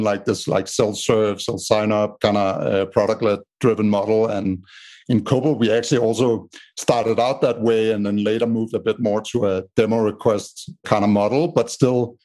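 A man from Denmark with a Danish accent, a startup co-founder answering interview questions in a measured way with many "uh" fillers. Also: lowish voice, darkish tone. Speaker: podcast guest, startup co-founder.

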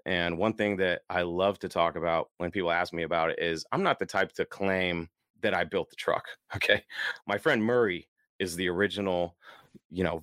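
A low-pitched man talks casually as the host of an enthusiast show. His voice is worn and slightly hoarse, and his manner is easygoing and rambling. The sound is close and dry. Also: a bit bright, no room sound. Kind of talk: casual solo chat to listeners.

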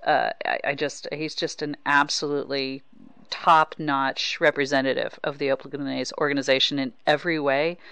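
A woman's voice: extremely low pitch, little pitch movement, normal speed, fairly bright tone, smooth, no echo. An American woman hosting a casual sports interview, chatting about baseball as a reporter. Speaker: podcast host and baseball reporter.

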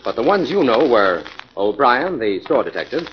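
An actor playing a calm, confident crime investigator in a 1940s radio drama, speaking in a measured, authoritative way. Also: male voice, medium pitch, lightly acted. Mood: confident, instructing. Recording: muffled, noisy 1940s radio recording.

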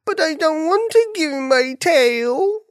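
A woman voicing a small, earnest young male bunny in a children's story, anxious and rushed, with a pleading manner. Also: sits high, animated, no echo, studio quality, voice pushed up. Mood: wailing, upset.

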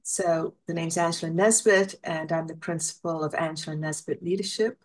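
A woman from Kenya with a Kenyan accent introducing herself as a podcast guest, sounding warm and smiling. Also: low voice, steady tone, moderate pace, rough recording. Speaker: podcast guest, leadership consultant. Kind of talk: conversational self-introduction.

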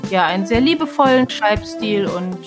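A woman's voice: mid-range voice, lively intonation, normal speed, over music. A woman co-hosting a podcast in a casual, chatty style. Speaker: podcast co-host.

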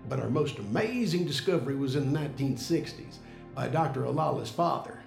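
A self-assured, secretive military base commander in an audio drama. His voice is very gravelly and moves in lively, dramatic swings as he explains things over music. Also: medium pitch, fairly quick. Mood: proud. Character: confident secretive male base commander guiding an inspection.

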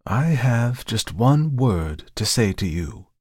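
A man's voice: on the low side, very animated, slow, a little mellow, breathy edge, dry recording, voice pushed up, heavily acted. A man voicing a shrewd, mocking male shopkeeper in a storybook reading, with a dry, biting voice and slyly polite manner. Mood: impatient, sharp. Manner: sly, chuckling, formally polite salesman. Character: shrewd, mocking little male antique dealer, impatient. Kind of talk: audiobook character acting.

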